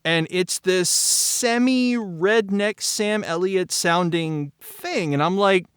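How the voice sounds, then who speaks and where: A man's voice: high voice, slow pace, fairly bright tone, smooth, no echo, clean studio sound. A man in his forties telling behind-the-scenes stories in a candid, emphatic way, repeating phrases for stress. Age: 40s. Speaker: audio drama creator discussing the show behind the scenes. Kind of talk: animated conversational storytelling.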